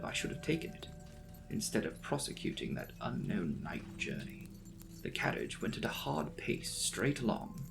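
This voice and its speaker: A man narrates a gothic novel as a dramatic audiobook. His pitch swings widely through the phrases, and his mid-range voice carries a heavy gravelly creak. Music plays behind him. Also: rough recording. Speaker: audiobook reader. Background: background music.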